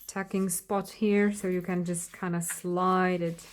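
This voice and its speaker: Slow, hesitant woman, Slovak native speaker. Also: on the low side, level tone. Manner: hesitant, searching for words, many fillers. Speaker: craft vlogger. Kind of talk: unscripted craft flip-through.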